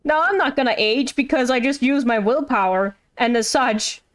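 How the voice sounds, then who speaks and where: A woman's voice: fairly high pitch, normal speed. A woman giving casual live gameplay commentary as a streamer, informal and musing, with some swearing. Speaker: game streamer.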